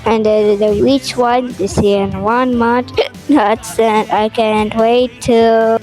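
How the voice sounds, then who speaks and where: A young-sounding, high-voiced amateur performing character lines from an animated musical, with music behind. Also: slow, low-quality recording.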